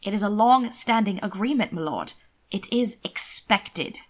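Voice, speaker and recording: A proud, formal gentleman envoy played by a woman from a period storybook. The delivery is careful and patient with a lively melody, always courteous and always pressing. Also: medium pitch, measured pace. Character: proud, politely insistent male ducal envoy.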